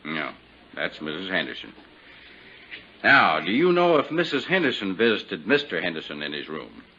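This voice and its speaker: An actor playing a plain-spoken western sheriff methodically questioning as coroner in a 1950s radio drama. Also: male voice, sits low, big pitch swings, normal speed, sharp tone, full character voice. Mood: methodical, official. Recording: dull, noisy old 1950s radio recording.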